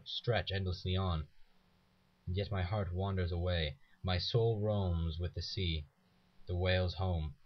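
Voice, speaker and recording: A young man with a very low voice recites poetry in a dramatic style. He reads as a student would for a class, and the recording is dull and lo-fi. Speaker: student reader.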